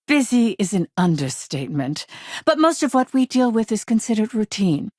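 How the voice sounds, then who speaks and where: A woman playing a brisk, guarded, impatient corporate lawyer in a video game, speaking in a crisp, formal, curt way. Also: on the high side, big pitch swings, a little mellow, no room sound, clean studio sound. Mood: matter-of-fact. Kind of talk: scripted game dialogue.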